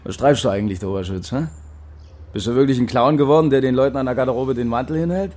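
A man's voice: mid-range voice, fast, darkish tone, lightly acted. An actor playing a mocking, menacing male East German secret police officer in a crime radio drama. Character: Lutz Viehweg, sneering, bullying East German Stasi man.

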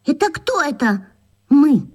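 A tough, bossy young girl, with a very high voice and lively swings in pitch. She is blunt, contrary and scornful, talking in slangy, dismissive phrases as in a children's radio drama. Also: a little slow. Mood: huffy. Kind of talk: children's radio play acting.

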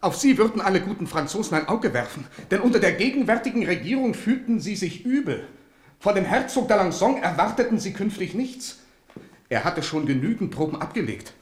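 A man's voice: high voice, sing-song, a little fast. Fearful, imperious king, swooping voice. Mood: reproachful. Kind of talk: German radio play acting. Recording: roomy studio echo.

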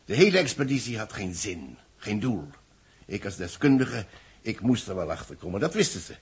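A betrayed, serious engineer in a vintage radio play. The voice is low and very rough, swinging dramatically from muttered gravity to emphatic declaration. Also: male voice, sing-song, moderate pace, heavily acted. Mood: bitter. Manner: emphatic, dramatic, repeating words. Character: grave, betrayed male engineer, a society chairman. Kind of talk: 1960s Dutch radio drama acting.

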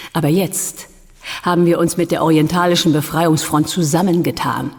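A woman's voice: deep voice, darkish tone, big-room sound, natural voice. An actress playing a hard, determined urban guerrilla leader in a radio drama, commanding and cool in her delivery.

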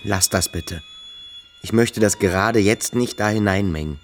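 An actor playing a brooding, searching younger schoolboy, reflective and guarded, in a German literary radio drama. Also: male voice, lowish voice, measured pace, a little hiss or hum. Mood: defensive, firm. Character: Törleß, brooding, searching younger schoolboy, troubled but defiant.

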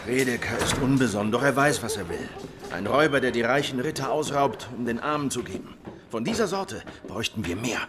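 A man's voice: medium pitch, animated, fairly quick, over music, close to an everyday voice. An actor playing a wary, battle-ready Anabaptist swordsman, terse and resolute, in a German historical radio drama. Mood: reflective.

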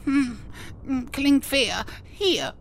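A man's voice: very high-pitched, expressive, extremely slow, gravelly, fairly bright tone, a little hiss or hum, heavily acted. A man playing a cocky, short-tempered online-game troublemaker in a comedy audio play, with a brash, slangy way of talking. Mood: smug teasing.

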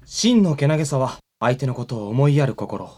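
A woman's voice: rumbling low, very animated, normal speed, warm tone, heavily acted. A woman playing a gleeful schoolgirl announcer on an anime radio show, teasing, grandiose and mock-dramatic. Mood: solemnly dramatic. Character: gleeful, theatrical schoolgirl broadcast club announcer. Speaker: contest announcer.

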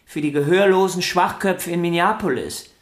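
A woman's voice: on the low side, moderate pace, natural voice. A woman voicing a vain, proud young violin prodigy in a radio drama, talking in an arrogant, insistent, theatrical way. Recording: roomy studio echo.

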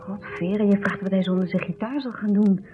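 A woman's voice: mid-range voice, brisk, over music, lightly acted. An actress playing a frank, insistent woman friend with a reproachful streak in a naturalistic radio drama. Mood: exasperated, scornful. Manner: insistent, direct. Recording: muffled, noisy 1970s radio recording.

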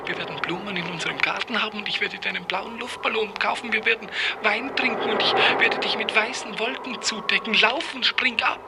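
An actor playing a lovesick, weary clerk who pleads in the lyrical, theatrical manner of a 1950s German radio play. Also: male voice, high-pitched, brisk, vocal fry. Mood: desperate coaxing. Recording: noisy old 1950s radio drama recording.

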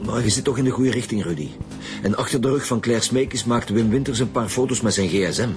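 An actor playing a steady, businesslike Flemish chief detective in a radio crime drama. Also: male voice, medium pitch, a little fast, soft background music, lightly acted. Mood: determined. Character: steady male chief detective leading a murder squad. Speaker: actor in a radio police serial.

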